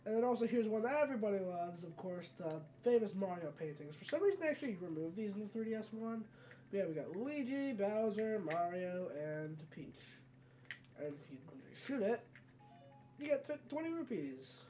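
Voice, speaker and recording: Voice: medium pitch, very animated, normal speed, dark tone, faint music behind. A video game let's player giving casual, rambling commentary and often correcting themselves mid-sentence. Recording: mic at a distance, background noise.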